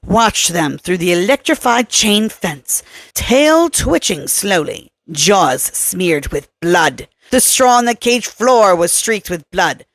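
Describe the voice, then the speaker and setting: A woman's voice: medium pitch, very animated, slow, dry recording. A woman reading scripted horror fiction aloud as an audiobook narrator.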